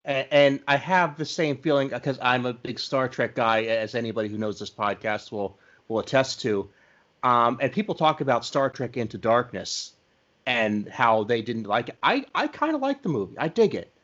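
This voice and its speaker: A man's voice: medium pitch, lively intonation, normal speed, a bit bright, lo-fi sound. A man hosting a podcast in casual conversation, talking like an enthusiastic fan telling stories. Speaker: podcast host. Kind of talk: casual interview chat.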